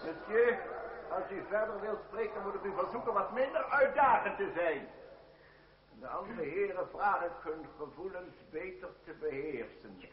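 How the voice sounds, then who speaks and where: An actor playing a stern, formal president of an assembly, speaking officially in an old radio drama. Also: male voice, sits high, animated, moderate pace. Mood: admonishing. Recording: muffled old radio, echoey hall, crowd.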